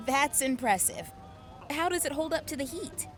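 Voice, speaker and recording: An actress playing a curious young woman soldier in an audio drama, asking quick, friendly questions. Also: high voice, expressive, faint music behind.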